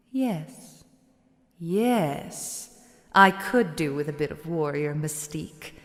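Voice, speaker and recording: A woman's voice: low voice, exaggerated voice. A woman playing a vain, haughty female shadowling merchant in a video game, theatrical, self-absorbed and condescending. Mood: grudging, coy. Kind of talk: dramatic video game voice acting. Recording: long reverb on the voice.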